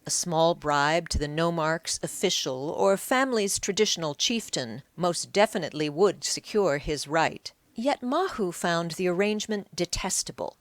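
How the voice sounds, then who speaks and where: A woman's voice: fairly low pitch, dry recording. A woman narrating an audiobook in a dramatic, scripted storytelling style.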